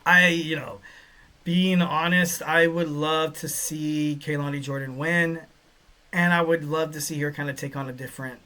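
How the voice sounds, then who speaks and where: A young man hosting a casual solo podcast, rambling with many fillers and breaking into excited bursts. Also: on the high side, moderate pace. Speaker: podcast host. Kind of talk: casual solo podcast talk reading listener chat.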